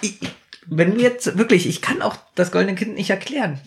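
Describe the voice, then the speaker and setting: A man's voice: high voice, brisk, creaky, slight background noise. A man co-hosting a casual podcast chat, joking and self-mocking, a little congested from a cold. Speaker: podcast co-host.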